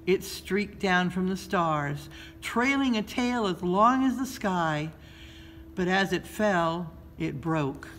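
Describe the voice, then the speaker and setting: A woman reads a children's story aloud in a measured, expressive storytelling style, pausing between phrases. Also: on the low side. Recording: noticeable background noise.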